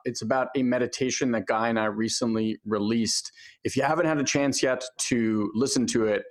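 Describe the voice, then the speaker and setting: A man hosting a podcast who introduces guests and promotes products in an upbeat, enthusiastic manner. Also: medium pitch. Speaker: podcast host. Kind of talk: enthusiastic show host.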